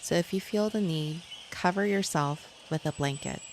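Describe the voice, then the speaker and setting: A woman guiding a yoga nidra relaxation in a measured, soothing manner, as a scripted meditation. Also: on the low side. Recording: clear insect and nature noise behind.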